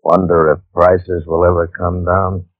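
A man's voice: low voice, little pitch movement, measured pace, no echo. A man announcing in a dramatic, portentous 1940s radio style. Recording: muffled 1940s radio recording.

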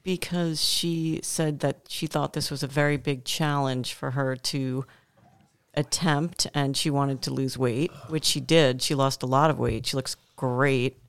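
Gravelly-voiced woman telling a story. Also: extremely low pitch, steady tone, unhurried, no room sound. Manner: chatty, storytelling. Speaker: gym staff member. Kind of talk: casual podcast chat.

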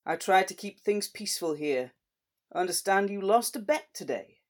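A man voicing a big, calm middle-aged sheriff who speaks in a slow, measured drawl with quiet menace. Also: high voice, pitched above the performer's own voice.

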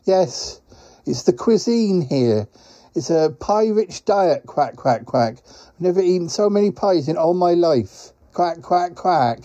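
A man's voice: high voice, animated, slow pace, warm tone, lo-fi sound, pitched above the performer's own voice. A man voicing a polite, flustered talking duck in a comic podcast skit, hesitant and rambling, with quacks as a verbal tic. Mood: fretful. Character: flustered, polite talking duck courier, male, pie-stuffed traveller.

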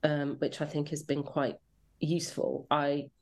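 An English woman with a low-pitched voice talks casually as an interview guest. She is chatty and self-deprecating, looking back in a reflective, conversational way. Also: little pitch movement, a little slow, darkish tone. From rural Devon, England. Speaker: interview guest, children's drama commissioning editor.

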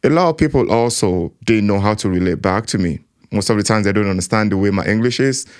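A man's voice: lowish voice, fast talker, clean tone. A man giving a personal account in an interview, reflective and acting out his own inner voice.

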